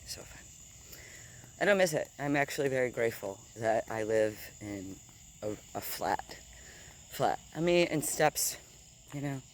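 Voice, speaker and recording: A deep-voiced woman in her thirties telling a rambling, digressive story while walking outdoors, swearing casually and sometimes dropping to a whisper. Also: lively intonation, measured pace, long pauses. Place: outdoors on grass at night with insects.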